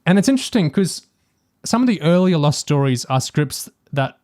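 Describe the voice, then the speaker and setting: A man's voice: on the high side, very animated, moderate pace, darkish tone. A man co-hosting a podcast, talking casually and analytically with a fan's enthusiasm. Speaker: podcast co-host. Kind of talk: casual discussion of audio drama and TV.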